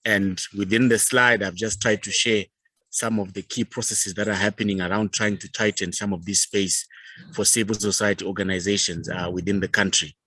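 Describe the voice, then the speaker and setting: A man with a Zimbabwean accent giving a formal presentation as a youth organization leader, speaking in a measured, formal way with pauses. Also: on the low side, fairly even intonation, bright, forceful tone, no echo.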